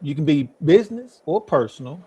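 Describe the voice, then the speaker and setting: A man co-hosting a podcast, asking the guest questions in a calm, measured way. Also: fairly high pitch, slightly rough, dull audio. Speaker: podcast co-host.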